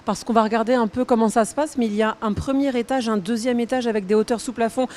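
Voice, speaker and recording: A woman's voice: fairly high pitch, steady tone, quick pace, darkish tone. A woman hosting a TV food show, upbeat and full of praise as she presents. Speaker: TV show host.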